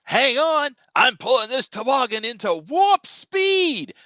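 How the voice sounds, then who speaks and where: A performer doing a brash cartoon Pac-Man dad who boasts and exclaims. The voice swoops hugely up and down, bright and forceful, at an unhurried pace. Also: high-pitched, sing-song, dry recording, studio quality, full character voice. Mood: alarmed excitement. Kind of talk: live cartoon script table read.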